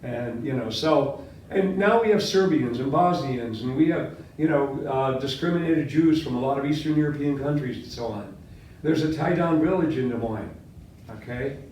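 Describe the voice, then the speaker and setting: A man's voice: mid-range voice, normal speed, a little hiss or hum. Older Latvian-born man lecturing in echoey room. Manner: animated, quizzes students, gestures widely. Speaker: history professor. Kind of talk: classroom lecture with questions. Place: seminar room with whiteboard. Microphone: far camera. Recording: echoey classroom from far off.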